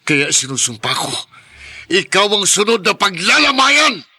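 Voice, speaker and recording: A man's voice: high voice, very animated, measured pace, pushed and bright, husky, no room sound, exaggerated voice. A man playing a stubborn, vengeful grieving son in a Filipino radio drama, intense and accusing. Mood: furious.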